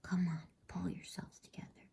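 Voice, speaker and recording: A woman voicing an earnest eldest boy from a storybook, awkward and contrite, in a hesitant, breathy whisper. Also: normal speed, mellow, close to an everyday voice. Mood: awkward resolve.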